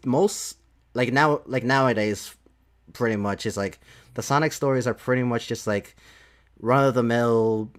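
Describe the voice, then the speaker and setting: A man chatting casually in a halting, repetitive way, leaning heavily on filler words. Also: fairly low pitch. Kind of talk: casual podcast chat.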